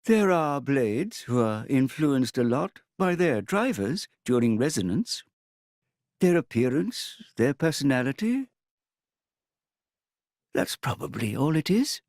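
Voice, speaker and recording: A thoughtful companion in a video game. He explains at an unhurried pace with varied intonation, reassuring, in a dry recording. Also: medium pitch, big pitch swings, darkish tone, no echo. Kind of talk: video game cutscene acting.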